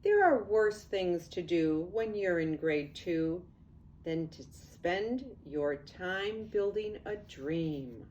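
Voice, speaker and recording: An older woman, a grandmother, reads a picture book aloud to young children in an expressive, unhurried way with casual asides. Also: on the low side, slight background noise. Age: 60s or older.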